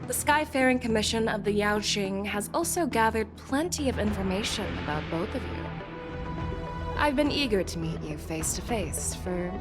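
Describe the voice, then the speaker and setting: Confident female general for a story game, woman actor, shrewd interrogator, mid-pitched, formal, with music underneath. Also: lively intonation, moderate pace, close to an everyday voice. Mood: assured, formal. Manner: assured, formal, probing. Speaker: video game character. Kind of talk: game voice acting. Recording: game music playing behind.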